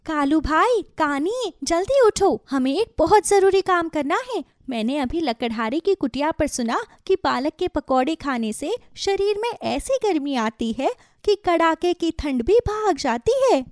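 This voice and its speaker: A woman's voice: high-pitched, lively intonation, a little slow, few pauses, dry recording, studio quality. A woman voicing a caring, determined mother bird in a children's cartoon, with an urgent manner. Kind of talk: Hindi children's cartoon story dialogue.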